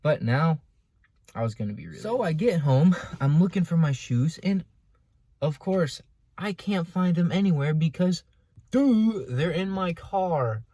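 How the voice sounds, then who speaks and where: A man's voice: fairly high pitch, animated, a little slow, warm tone. A young American man telling a personal story casually, with dramatic emphasis and sarcastic asides. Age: young adult. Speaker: vlogger. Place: inside a car.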